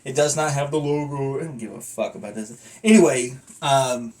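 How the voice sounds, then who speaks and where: A man's voice: mid-range voice, moderate pace, darkish tone. A man chatting casually in a rambling hobby review, with a folksy, self-deprecating and casually profane manner, recorded on a phone away from his mouth. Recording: noisy phone recording, distant mic.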